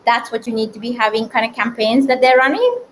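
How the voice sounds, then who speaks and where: Higher-pitched woman over background noise. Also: expressive, a little fast, rough recording. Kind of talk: conversational interview question.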